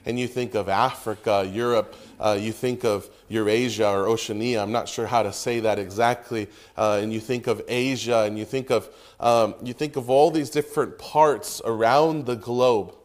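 A man's voice: medium pitch, steady tone. Earnest man preaching a sermon. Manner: earnest, emphatic preaching with pauses. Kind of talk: sermon with prayer.

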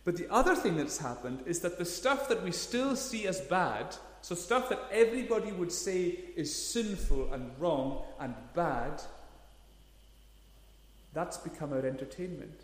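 A man's voice: high voice, expressive, a little slow. A man, preaching a sermon in an earnest, emphatic way, leaning hard on key words. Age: 30s. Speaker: pastor. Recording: echoey hall, long reverb.

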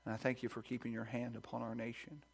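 A man pastor preaching a sermon in a church sanctuary, reading scripture and praying, at times hushed and at times rising with fervor. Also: level tone, fast, creaky, slightly rough. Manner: builds to loud, high-pitched preaching fervor.

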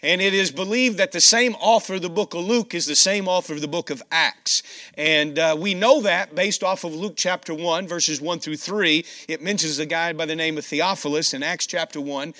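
A man's voice: on the high side, normal speed, pushed and bright. A man preaching a sermon in a folksy way, with a scratchy voice. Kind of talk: sermon with scripture reading.

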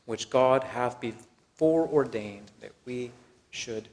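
A man with an American accent preaching a sermon and reading scripture, speaking in deliberate, emphatic phrases that fall away softly at the end. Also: medium pitch. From Texas, United States.

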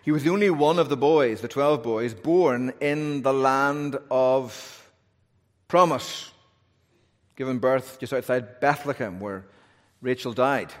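Northern Irish pastor in a reverberant hall. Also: male voice, medium pitch, slow. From Northern Ireland. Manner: conversational preaching with asides and humor. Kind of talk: sermon.

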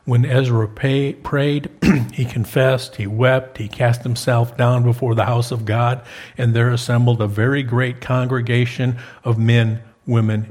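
Male pastor, mid-pitched, homespun and conversational, punches key words. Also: a little mellow. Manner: folksy, joking asides, rising to emphatic peaks on key words. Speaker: preacher. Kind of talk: sermon.

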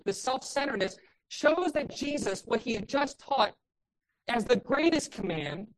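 Loud, impassioned woman preacher. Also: a little high, low-quality recording. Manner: impassioned preaching, rising to emphatic peaks. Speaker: pastor. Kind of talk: sermon.

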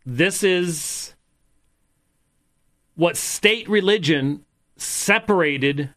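Bright, emphatic male commentator. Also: on the high side, drawn-out words. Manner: emphatic, repeats his points. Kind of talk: commentary on video clips.

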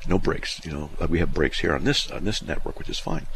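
A man's voice: low-pitched, sing-song, a little fast, gravelly, a bit bright, slight background noise. A middle-aged man hosting a call-in radio show, telling stories in a folksy, self-deprecating way. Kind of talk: casual call-in radio chat.

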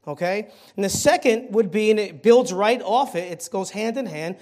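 Lay preacher, man, high-pitched, slightly hoarse, casual sermon delivery that builds on key points. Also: moderate pace, a little mellow. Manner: conversational, many fillers and restarts, rising emphasis on key points. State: slightly hoarse throat, clearing it. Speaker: lay preacher filling the pulpit. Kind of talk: evening church sermon. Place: church sanctuary.

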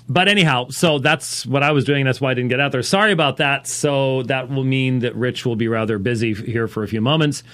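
A man's voice: medium pitch, a bit bright. A man hosting a religious call-in podcast, talking casually and unscripted in a digressive, wry way. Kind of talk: casual unscripted talk show monologue.

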